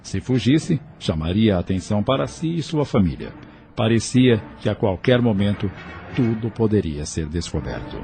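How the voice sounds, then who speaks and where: A man's voice: on the low side, sing-song, normal speed, gravelly. A man with a Brazilian accent reading scripted narration for an audio novel. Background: music.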